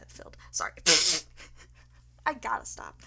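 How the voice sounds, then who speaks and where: A woman's voice: on the low side, huge pitch swings, very slow. A woman ASMR creator chatting casually and unscripted to her viewers in a flustered, giggly, sweary manner.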